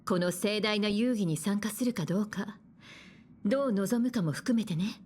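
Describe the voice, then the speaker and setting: An actress playing a composed, caring woman navigator in an anime-style game, guiding gently. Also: mid-range voice, fast talker, husky, lightly acted. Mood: gently encouraging. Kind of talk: Japanese anime game voice acting.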